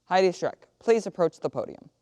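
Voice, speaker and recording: An actor playing an official contest announcer, speaking in a formal, deliberate, projected way for the stage. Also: medium pitch, lively intonation, mellow, no room sound, clean studio sound, natural voice. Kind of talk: theatrical monologue as announcement.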